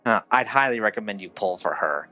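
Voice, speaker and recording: A man live-streams a game with music playing faintly behind him. He talks casually and gives advice, often halting and feeling for his words. The sound is dry and slightly dull. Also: lowish voice, expressive, bright, forceful tone, dry recording. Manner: hesitant, halting delivery.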